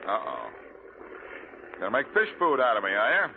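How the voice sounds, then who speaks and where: A man's voice: fairly low pitch, wildly swooping pitch, moderate pace, background noise. An actor playing a cool, wisecracking male detective with a dry, teasing manner in 1940s radio drama style, on a tinny old recording. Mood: wry.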